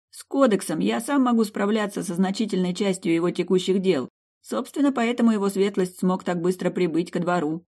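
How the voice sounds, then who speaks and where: A narrator voicing a bookish young man in a storybook, earnest, excitable and bashful. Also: medium pitch, pitched above the performer's own voice, natural voice.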